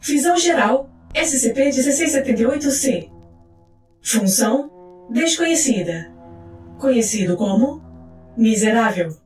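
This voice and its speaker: A high female voice narrates in a roomy, echoing space with music underneath. She reads scripted reports formally and clinically, keeping a measured documentary delivery. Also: lively intonation, darkish tone. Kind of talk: scripted documentary-like narration of fictional case files.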